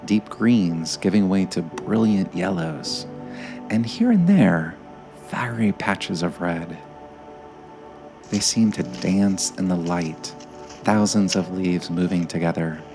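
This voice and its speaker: A man narrating a scripted guided meditation in a gentle, measured way, with frequent pauses. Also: sits low.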